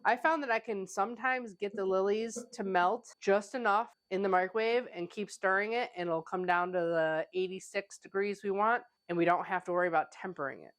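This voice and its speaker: A woman's voice: mid-range voice, moderate pace, few pauses. Woman pastry chef talking thru a kitchen tutorial, kinda stuffy nose from a cold, chatty, says like a lot. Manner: chatty, conversational, with frequent "like" fillers. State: stuffy, getting over a cold. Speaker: pastry chef and cooking channel host. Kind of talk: casual cooking tutorial. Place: home kitchen.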